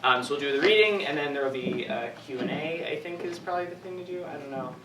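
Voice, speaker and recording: A man's voice: fairly high pitch, fast. A young man hosting a staged reading, introducing it to an audience in a casual, informal, hesitant way. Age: young adult. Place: echoey indoor room with audience. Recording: echoey room, distant mic, noisy crowd.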